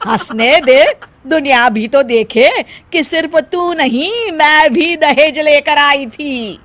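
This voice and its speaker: A proud, stubborn mother-in-law of middle age played by a woman in a moral story. She speaks slowly and emphatically in a high voice, scolding and taunting as she goes. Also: fairly bright tone, no room sound. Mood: indignant. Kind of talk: acted dialogue in a Hindi moral story.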